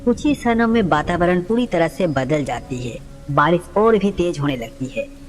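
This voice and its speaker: A woman's voice: fairly low pitch, animated, moderate pace. A woman reading scripted narration for a devotional story. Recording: long reverb, music underneath.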